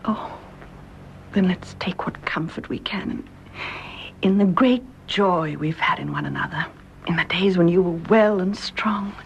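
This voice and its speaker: A British actress in a radio drama playing an invalid's devoted, anguished wife, speaking in a breathy, tender, emotional way. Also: female voice, on the low side. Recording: dull lo-fi radio drama with hiss.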